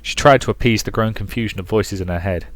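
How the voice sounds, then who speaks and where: A man's voice: fairly low pitch, quick pace. A man reading a visual novel aloud as dramatic storybook narration for a let's play.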